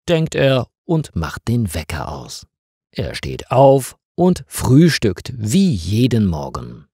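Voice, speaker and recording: A man reads a scripted story aimed at language learners. His delivery is slow and deliberate, with highly varied intonation across short sentences. The voice has a somewhat husky edge. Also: dark tone, no room sound, clean studio sound. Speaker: narrator of stories for language learners.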